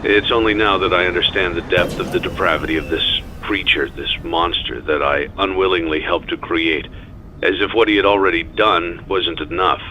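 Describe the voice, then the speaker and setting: An actor playing a grave, remorseful inventor in a game, speaking a solemn, measured monologue onto tape. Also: male voice, sits low, sharp tone, a little hiss or hum, rough recording. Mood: brooding, remorseful. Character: grave, remorseful male inventor recording tapes.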